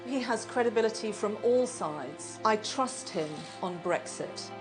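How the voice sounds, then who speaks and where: A woman giving a crisp endorsement in a campaign video. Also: medium pitch, animated, a little slow. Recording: music bed under interview.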